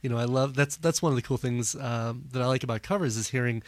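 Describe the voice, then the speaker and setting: A man hosting a music podcast in casual chat, his voice a little hoarse, talking with plenty of fillers. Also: mid-range voice, fast talker, no room sound. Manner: rambling, many fillers. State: slightly hoarse, losing his voice. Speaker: podcast host.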